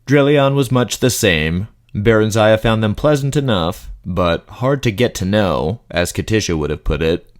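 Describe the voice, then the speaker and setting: A man's voice: on the low side, animated, normal speed. A man reading scripted fantasy story narration as an audiobook narrator.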